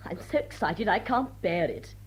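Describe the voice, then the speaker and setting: Animated, imperious society lady played by an actress. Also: medium pitch, moderate pace, close to an everyday voice. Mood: eagerly nosy. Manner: bossy, emphatic. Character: imperious, gossipy society lady, brisk and opinionated. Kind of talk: stage drawing-room radio drama.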